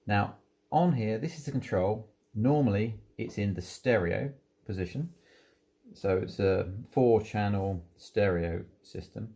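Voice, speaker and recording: A man's voice: on the low side, vocal fry, dark tone. A man giving an informal hands-on product walkthrough, explaining things unhurriedly, step by step. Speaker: presenter demonstrating audio equipment.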